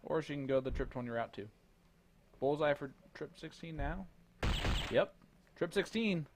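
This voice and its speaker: A man doing relaxed play-by-play commentary on a darts match, chuckling between calls. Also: fairly high pitch, normal speed. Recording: noticeable background noise.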